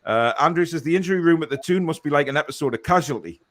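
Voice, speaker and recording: A man's voice: a little high, expressive, rapid-fire. A man hosting a live football fan show, chatting casually and reading out viewer comments.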